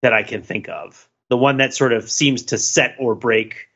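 A man's voice: mid-range voice, normal speed, fairly bright tone, breathy edge, muffled recording. A man co-hosting a podcast in casual discussion, talking in rambling runs with many restarts and fillers. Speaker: podcast co-host.

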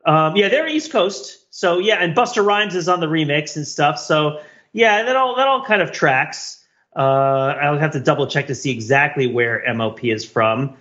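A man co-hosting a podcast, chatting casually about pop culture in a rambling, digressive, animated way on a slightly lo-fi track. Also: a little high, moderate pace. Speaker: podcast co-host.

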